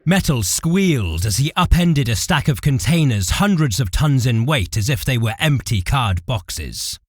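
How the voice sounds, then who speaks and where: A man's voice: medium pitch, very animated, unhurried, slightly rough, no echo, clean studio sound. A man giving a dramatic, scripted narration of a fantasy war story as its narrator.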